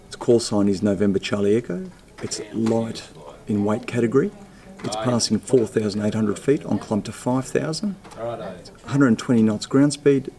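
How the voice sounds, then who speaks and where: Low, gravelly man explaining things. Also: dark tone. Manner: factual, technical, orderly. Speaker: air traffic controller giving a tour. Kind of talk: explanatory guided-tour talk.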